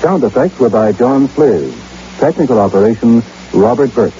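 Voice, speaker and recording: A man's voice: mid-range voice, expressive, normal speed, mellow. A man as a radio announcer, formally reading credits in an old-time broadcast style. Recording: muffled old radio recording, faint hiss.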